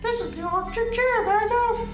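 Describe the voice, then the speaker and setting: An actor playing a creepy sock puppet host of a children's show, with a shrill, cheery voice. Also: male voice, normal speed, soft background music. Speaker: character in a video played inside the game.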